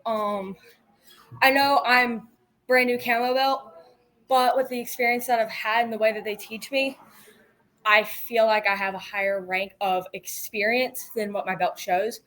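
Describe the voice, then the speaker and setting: A woman, a martial arts competitor, answering interview questions eagerly, with a loud voice and many fillers. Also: a little high, fairly even intonation, a little slow, poor audio. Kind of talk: post-match interview.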